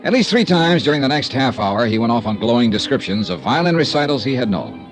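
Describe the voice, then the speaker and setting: A man's voice: medium pitch, sing-song, quick pace, music in the background, close to an everyday voice. Guy doing a joking laid-back insurance detective narrating, voice bouncing up and down, old-time radio. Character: wisecracking male freelance insurance investigator. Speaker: lead and narrator. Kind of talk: 1950s radio drama acting and narration.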